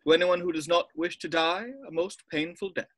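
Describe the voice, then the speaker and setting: A man playing a formal old wizard headmaster, giving measured announcements in a script read. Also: on the high side, steady tone, a bit bright, slight background noise, lightly acted. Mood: formal, solemn. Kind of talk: table read of a film script.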